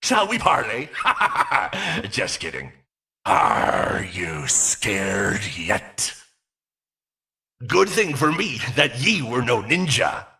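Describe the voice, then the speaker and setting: A man voicing a mischievous, menacing animatronic pirate fox in a video game, with a stage pirate accent, boisterous and taunting in a gravelly growl. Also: mid-range voice, wildly swooping pitch, extremely slow, pushed and bright, exaggerated voice. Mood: gleeful menace. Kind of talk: video game character voice lines.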